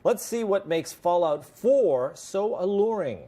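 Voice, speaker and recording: Male panel host, animated lilting delivery, playful teasing asides. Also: high-pitched. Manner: jokey, quick teasing asides. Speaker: talk show host. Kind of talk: late-night panel banter.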